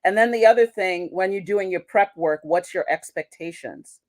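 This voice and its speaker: Woman with a lowish voice. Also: moderate pace, a little mellow. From United States. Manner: reflective, restarts sentences, asks rhetorical questions ending in "right?". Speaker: guest, international manager. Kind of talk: conversational interview.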